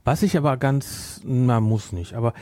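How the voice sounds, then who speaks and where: A man hosts a casual podcast discussion. His voice is soft-edged and mellow, a touch low, and recorded dry and close. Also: normal speed, no echo. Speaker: podcast host.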